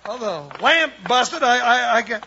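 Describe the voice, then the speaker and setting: An actor playing an excitable, folksy, loyal cowboy deputy with an American accent, in 1950s Western radio style. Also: male voice, unhurried, exaggerated voice. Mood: shaken, anxious. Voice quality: high-pitched. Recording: muffled, noisy old radio recording.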